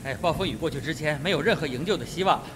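A man's voice: fairly high pitch, racing, close to an everyday voice. A man playing a wry, hearty army colonel in a Mandarin radio drama, with rain noise behind him. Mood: wry, animated.

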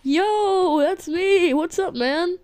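Slow, rambling woman dubbing a cartoon. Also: very high-pitched, darkish tone, no echo. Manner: rambling, repetitive, swearing. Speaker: amateur voice-over dubber. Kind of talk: improvised comedic dubbing of an animation.

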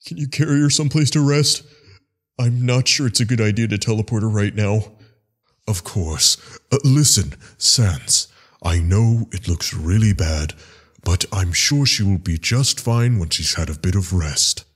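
A man playing a gentle, worried caretaker in a dramatic dub, hesitant and reassuring. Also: lowish voice, a little slow, husky.

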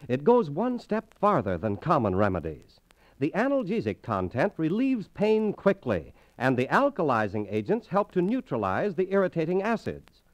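A man's voice: fairly high pitch, huge pitch swings, moderate pace. An American man reading scripted 1930s radio advertising as an announcer. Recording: restored 1930s radio transcription disc.

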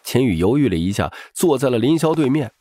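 A man's voice: medium pitch, very animated, a little fast, warm tone, slight background noise. A man narrating a novel as a dramatic, scripted audiobook.

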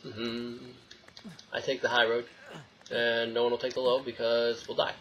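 A man's voice: medium pitch, completely flat delivery, normal speed, fairly bright tone. A young man giving casual, jokey let's play commentary, rambling to himself and the game as he plays. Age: young adult. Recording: dull lo-fi mic, faint noise.

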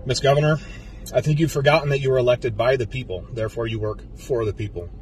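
An American man in his thirties, a police officer, giving a solemn, deliberate prepared speech to camera in a car. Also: mid-range voice, steady tone. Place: inside a car. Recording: slightly distant mic, faint car noise.

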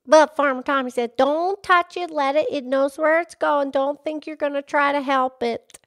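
A woman voicing a cheerful, excitable young pig puppet with a childlike, folksy manner in a children's puppet show. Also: high voice, moderate pace. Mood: eager, explaining. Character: cheerful, chatty young pig puppet explaining animal facts.

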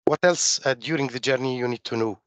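A middle-aged man from Jordan, giving a technical webinar presentation over a video call, halting with many fillers and restarts. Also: medium pitch, fairly bright tone, dry recording. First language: Arabic. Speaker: webinar presenter on open finance.

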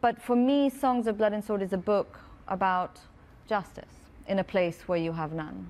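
A woman in her thirties from Pakistan, an author reading from her book and answering questions on a festival stage, earnest and measured. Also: mid-range voice, a little mellow. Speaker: author guest. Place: festival stage before a large audience.

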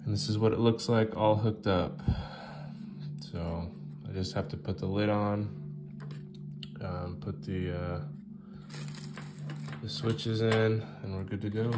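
A man with a Southern American accent talking through a how-to at a workshop bench, relaxed and full of ums and uhs. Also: on the low side, a little slow, long pauses. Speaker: fishing channel host. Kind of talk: casual how-to walkthrough. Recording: loud guitar music behind.

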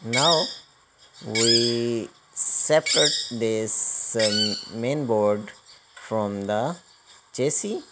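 A man hosting a hands-on electronics repair tutorial, explaining step by step in a halting manner. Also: fairly low pitch. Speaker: host of electronics repair channel. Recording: clearly noisy recording with clicking.

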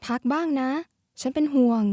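A woman voicing a lively, caring schoolgirl in expressive cartoon character lines, youthful and familiar as if among friends. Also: normal speed, no echo, clean studio sound, natural voice. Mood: gentle concern. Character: lively, caring schoolgirl who loves a card-flicking game.

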